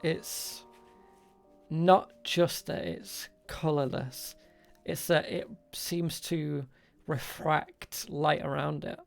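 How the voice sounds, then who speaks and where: A man narrating an improvised tabletop game as game master, halting and pausing between short phrases. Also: on the high side, fairly even intonation, gravelly, soft background music.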